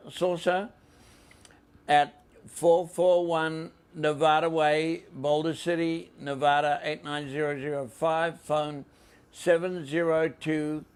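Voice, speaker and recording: A man with a New Zealand accent hosts an informal show. His voice is slightly high and quite creaky, and he speaks very slowly, in a halting, deliberate way. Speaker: podcast host.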